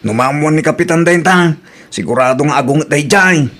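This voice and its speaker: A woman voicing a gruff middle-aged village man who grumbles disapprovingly in an audio drama. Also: low-pitched, fairly quick, fairly bright tone, pitched below the performer's own voice. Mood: grumbling.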